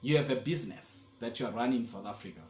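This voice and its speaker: A man speaking as an immigration specialist and channel host, giving a formal, deliberate explainer with firm emphasis on key words. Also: medium pitch, a little mellow, slight background noise. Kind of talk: scripted explainer presentation to viewers. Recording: echoey, roomy sound.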